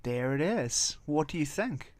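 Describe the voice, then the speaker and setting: A man's voice: on the high side, lively intonation, unhurried, darkish tone, no room sound. A man with a Welsh accent hosting a video, giving casual, rambling off-the-cuff commentary. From Wales. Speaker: video host commenting on viral clips and tabloid stories.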